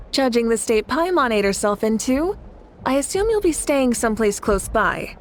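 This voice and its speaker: A woman's voice: a little high, lively intonation, normal speed, slight background noise, lightly acted. A woman playing a confident, good-humored warrior ruler in a video game, composed, assured and lightly teasing. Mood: kindly.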